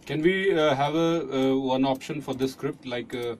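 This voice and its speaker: Man, Indian accent, mid-pitched, voice-over coach, halting prompts, faint background noise. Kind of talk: casual studio direction. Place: recording studio.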